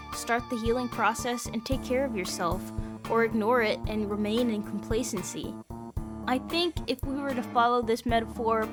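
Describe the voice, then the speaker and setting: A woman hosting a playthrough, reading visual novel narration aloud and adding her own commentary. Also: on the high side. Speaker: host of a video game playthrough channel. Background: background music.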